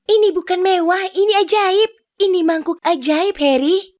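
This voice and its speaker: Sally, a very high little girl. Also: moderate pace, darkish tone. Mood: earnest. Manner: eager, childlike. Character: forgetful poor young girl Sally, sweet. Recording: music behind.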